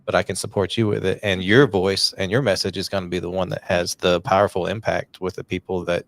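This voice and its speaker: A man's voice: deep voice, little pitch movement, a little fast, dry recording. A middle-aged man hosting a podcast, talking in an earnest, encouraging, rambling way. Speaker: podcast host. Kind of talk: casual podcast conversation.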